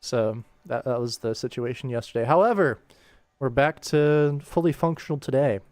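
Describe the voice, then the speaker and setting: Chill guy livestreaming his work, average pitch, kinda thinking out loud, quiet music behind him. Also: moderate pace, no echo. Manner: laid-back, thinking aloud while working. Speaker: animator, livestream host. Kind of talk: casual livestream work commentary.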